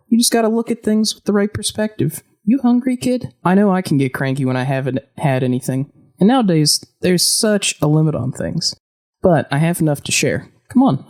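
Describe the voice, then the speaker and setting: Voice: medium pitch, creaky. An actor playing an upbeat, scrappy ex-convict in a post-apocalyptic audio drama, breezy and playfully grandiose.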